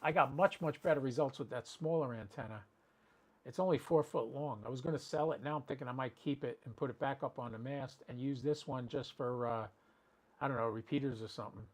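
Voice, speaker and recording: A man's voice: mid-range voice, brisk. A man chatting casually and rambling as he hosts an amateur radio livestream, talking directly to his viewers.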